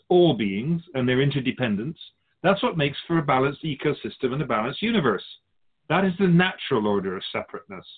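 Radio drama spirit guide, acted by a man: wry, didactic sage, husky and lively in pitch, narrow telephone-like sound. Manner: didactic, wry, formal phrasing. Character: Keeper of Souls, wise, wry otherworldly male spirit guide. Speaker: spirit teacher answering questions. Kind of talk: scripted radio drama dialogue, expository.

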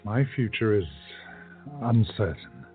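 A man playing an earnest, guarded time-travelling adventurer in a radio play, courteous and deliberate in his speech. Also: fairly low pitch, lively intonation, phone-quality sound, faint music behind. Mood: tender, resigned.

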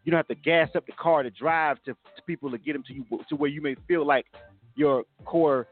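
A man hosting a hip hop radio interview, energetic and slangy, often repeating himself. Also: fairly high pitch, fairly quick. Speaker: radio show host. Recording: lo-fi narrow sound with music behind.

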